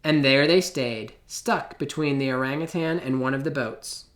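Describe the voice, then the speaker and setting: A man reading a children's picture book aloud in an expressive, theatrical way, with deliberate pauses. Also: medium pitch. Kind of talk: children's storybook read-aloud.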